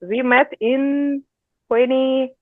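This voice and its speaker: A woman hosts a relaxed chat, asking friendly questions and adding brief acknowledgements. Her voice comes through a thin, phone-like line. The sound is dry, with no room echo. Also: sits high, very slow. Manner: friendly, prompting with short backchannels. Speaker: host, Russian teacher. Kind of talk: casual interview. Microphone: video call. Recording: tinny, phone-like video-call audio.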